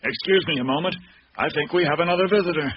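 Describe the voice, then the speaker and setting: An actor playing a wry, teasing old retired police captain in a radio drama, with a dry, unhurried manner. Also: male voice, a little high, natural voice. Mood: genial, teasing. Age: older. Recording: dull, noisy radio drama recording.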